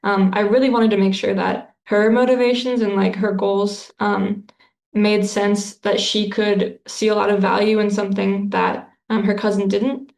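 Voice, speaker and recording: A woman's voice: mid-range voice, flat delivery, moderate pace, vocal fry, a little mellow, echoey room. A woman author answering interview questions in a casual, thoughtful way, with lots of fillers and restarts.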